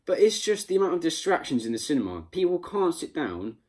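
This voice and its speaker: A man's voice: fairly high pitch, sing-song, a little fast, a little mellow. A young man with a London accent talking casually and emphatically, with some swearing, as an amateur film reviewer in a vlog.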